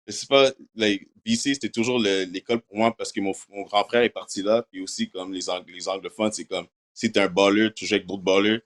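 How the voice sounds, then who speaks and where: A man's voice: low voice, fairly bright tone. A young man from Quebec whose first language is English, answering interview questions in casual French sprinkled with English words. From Quebec, Canada. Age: young adult. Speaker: university football player.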